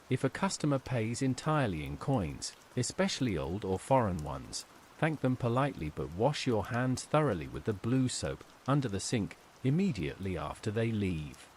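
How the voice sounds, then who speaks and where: A man's voice: lowish voice, a little mellow, a little hiss or hum. A man reading a scripted first-person horror story as its narrator.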